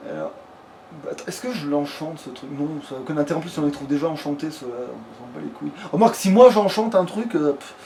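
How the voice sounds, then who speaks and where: High-voiced man casually commenting on a game. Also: lively intonation, fast, darkish tone. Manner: crude, slangy, swearing freely. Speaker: let's play gamer. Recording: clearly noisy home recording.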